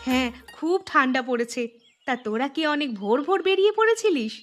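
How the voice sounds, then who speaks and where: A woman's voice: high-pitched, very animated, fairly quick. A woman voicing a doting, chatty village mother and housewife in a Bengali cartoon story, with a Bengali accent. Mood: delighted. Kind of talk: Bengali animated story voice acting. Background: plucked-string music under the voice.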